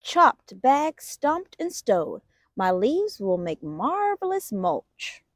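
A woman reading a picture book aloud for children in an expressive, deliberate storytelling manner. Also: high voice, no echo, studio quality. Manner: expressive, deliberate storytelling with stressed sound words. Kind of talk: animated children's read-aloud.

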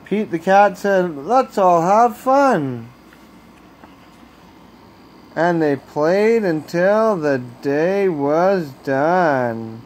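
A man reading a children's bedtime story aloud as a storyteller, with expressive, deliberate phrasing, recorded on a handheld phone at home. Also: sits high. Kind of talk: bedtime picture-book read-aloud. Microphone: handheld phone camera.

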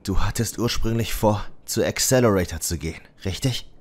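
Low-voiced battered teenage hero, acted by a man. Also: normal speed, close to an everyday voice. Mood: resolute. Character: battered, stubbornly determined teenage boy. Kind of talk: dramatic anime dub acting. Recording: fan dub with music underneath. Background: background music.

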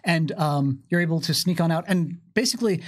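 Guy in his 30s game mastering, kinda high voice, chatty storytelling, explains stuff as he goes. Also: fairly quick. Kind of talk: casual tabletop game demonstration. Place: indoor studio with curtain backdrop. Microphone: microphone on desk stand.

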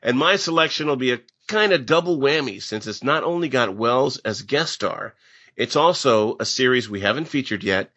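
Bright, forceful man co-hosting a podcast. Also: medium pitch, lively intonation, normal speed, highs cut off. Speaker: podcast co-host. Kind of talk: casual scripted podcast banter.

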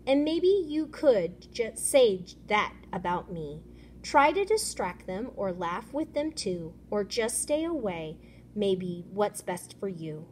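A woman teacher reading a children's picture book aloud in an expressive, instructive way. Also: medium pitch, slow, a little hiss or hum.

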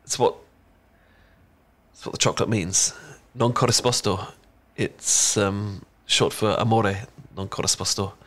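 A man's voice: on the low side, steady tone, a little slow, fairly bright tone. A man with a British accent playing an adorkable, shy young chocolatier in an intimate ASMR romance roleplay, stammering and rambling awkwardly. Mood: tender, nervous. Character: adorkable, bashful young male chocolatier confessing a crush.

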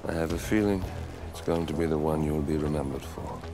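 An actor playing a cold, scheming shadowy operative in a video game, speaking in a measured, taunting, ominous way. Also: male voice, rumbling low, over music. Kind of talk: cinematic video game acting.

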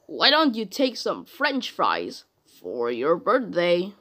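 A woman's voice: lowish voice, wildly swooping pitch, slow, fairly bright tone, full character voice. A woman voicing a cheerful animatronic cat who announces shows like a host, in a horror game. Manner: announcer-like, addressing an audience. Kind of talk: showman-like character voicelines.

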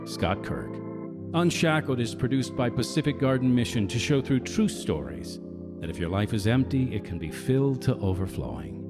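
A man hosting a radio drama, reading scripted announcements in an earnest, polished manner. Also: medium pitch. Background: music.

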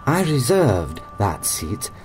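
A man plays a haughty, rude tycoon in an audio drama. His manner is pompous and condescending, with clipped phrases and a lively, varied pitch over faint music. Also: takes it slowly. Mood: irritated, imperious. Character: haughty, rude, rich robotics company chairman.

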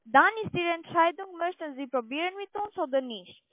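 Female telesales caller, high voice, non-native speaker with halting grammar, tinny phone line. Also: animated, no echo. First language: not German. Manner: halting, ungrammatical German. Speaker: telephone sales caller. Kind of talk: cold-call sales pitch.

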